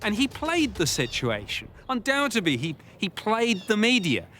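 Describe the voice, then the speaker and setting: A man's voice: high voice, wildly swooping pitch, normal speed, a bit bright, soft background music. A man giving emphatic, dramatic commentary as a storyteller in a documentary interview.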